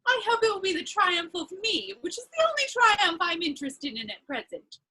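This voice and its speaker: Flighty, playful ingénue for stage comedy; very high, bright, swooping delivery. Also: female voice, big pitch swings, fairly quick, full character voice. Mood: flighty, self-pleased. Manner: theatrical, breezy. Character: vain, flighty, playful young society girl. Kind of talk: stage comedy dramatic reading.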